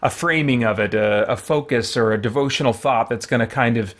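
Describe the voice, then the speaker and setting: A man hosting a livestream in a casual, rambling, thoughtful way, often pausing mid-sentence. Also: mid-range voice, fairly bright tone. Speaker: livestream host.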